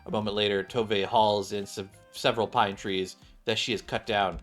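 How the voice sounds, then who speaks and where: A man's voice: on the low side, level tone, normal speed, fairly bright tone. A man streaming a game, reading its story text aloud and often stumbling and rereading lines. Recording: game music behind the voice. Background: game music.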